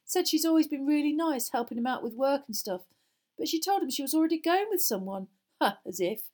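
A woman's voice: high voice, lively intonation, a little fast, darkish tone, pitched above the performer's own voice. A woman voicing a blunt, impatient, sulky teenage schoolboy in a children's fantasy audiobook. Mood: gleefully mocking.

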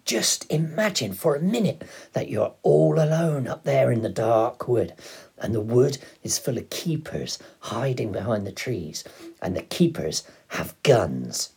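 A roguish father in a storybook, played by a male reader, spinning tales with mischievous glee. The voice is husky and swings widely in pitch, savouring each phrase at an unhurried pace. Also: big pitch swings, dark tone, heavily acted. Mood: excited. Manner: exclamatory, relishing, dramatic delivery. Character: roguish, gleeful father, fond storyteller of poaching.

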